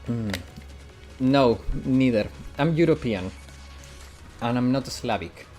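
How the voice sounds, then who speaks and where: Chatty Italian gaming streamer, mixed accent. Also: male voice, medium pitch, slow, dark tone, soft background music. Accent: mixed British and American English. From Italy. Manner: chatty, answers chat while playing. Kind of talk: casual live-stream chat.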